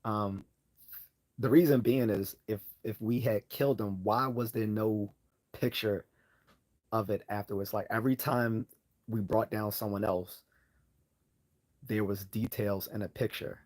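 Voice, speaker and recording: A man hosting a casual live Q&A, hoarse from losing his voice, rambling with many fillers and restarts as he answers chat questions. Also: on the low side, steady tone, a little slow, pauses often, a little mellow.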